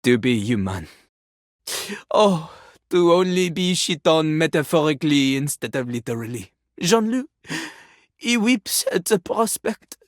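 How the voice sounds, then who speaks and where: An actor voicing a pompous, sassy talking toilet who raps in a theatrical French accent, boasting haughtily in a video game. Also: male voice, on the high side, very animated, a little slow, no echo, studio quality. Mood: dramatic, wounded. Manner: haughty, third-person boasting, theatrical flourishes. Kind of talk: video game character voice acting, partly rapped.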